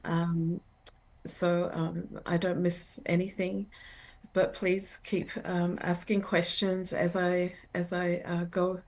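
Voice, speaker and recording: An older woman from New Zealand, an educator and researcher, answering interview questions in a halting, earnest way. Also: on the low side, monotone, a little mellow. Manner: halting, many fillers and restarts, earnest.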